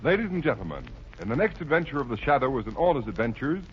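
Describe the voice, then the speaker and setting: An announcer, a man, delivering an enthusiastic, declamatory sales pitch in a 1930s radio advertisement. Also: big pitch swings, quick pace, music in the background, lightly acted. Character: enthusiastic, sincere male sponsor announcer selling tires. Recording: muffled vintage radio broadcast.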